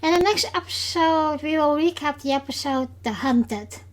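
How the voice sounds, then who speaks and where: A woman with a Dutch accent hosting a solo podcast recap, speaking haltingly with frequent pauses. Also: high-pitched. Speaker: podcast host.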